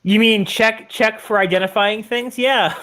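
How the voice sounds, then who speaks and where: A woman's voice: a little high, steady tone, measured pace, fairly bright tone. A woman playing an online tabletop game, bantering casually in a playfully contrary way.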